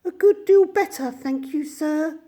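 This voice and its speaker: A woman voicing a conceited, excitable male toad in a storybook reading, high and pompous. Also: measured pace. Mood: feigned meek.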